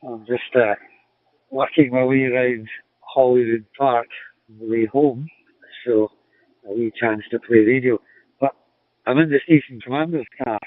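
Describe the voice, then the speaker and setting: A man's voice: medium pitch, dry recording. Scottish man on a tinny radio link. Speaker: amateur radio operator. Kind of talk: casual radio contact. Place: in a car. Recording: tinny, narrow-band digital radio link.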